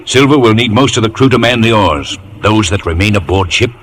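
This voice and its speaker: A man's voice: on the low side, lively intonation, brisk, fairly bright tone. A man playing a resolute, authoritative ship's captain in an old radio drama. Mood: grave resolve. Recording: noisy old radio broadcast recording.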